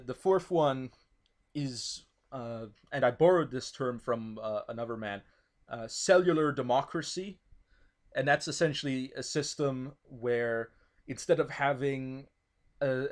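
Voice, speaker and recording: A man whose first language is not English, explaining his political ideas in a discussion, thoughtful, with many fillers and restarts. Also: medium pitch. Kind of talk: casual discussion.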